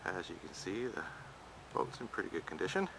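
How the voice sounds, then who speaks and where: Low gravelly man, plain folksy talk. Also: animated, brisk, noisy background. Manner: plain-spoken, folksy, practical. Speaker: hobby boat restorer. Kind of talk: casual narration over his own camera footage. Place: outdoors in a backyard.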